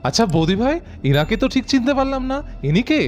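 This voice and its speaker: A man voicing a friendly, naive, excitable young man in a doll cartoon, chatty and earnest. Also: very high voice, fast.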